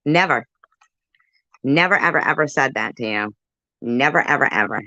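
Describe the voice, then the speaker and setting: A woman's voice: rumbling low, expressive, normal speed, bright, forceful tone, no echo, lo-fi sound. A woman hosting her channel, cutting in on a clip with heated, swearing rebuttals. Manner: combative, swearing, cuts in to rebut. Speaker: channel host reacting to a clip. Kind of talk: heated commentary.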